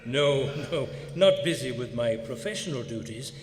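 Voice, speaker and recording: A man's voice: mid-range voice, lively intonation, normal speed, natural voice. A man playing an urbane, macabre angel of death who hosts a radio horror show, courteous, formal and droll. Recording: live theater, long hall reverb.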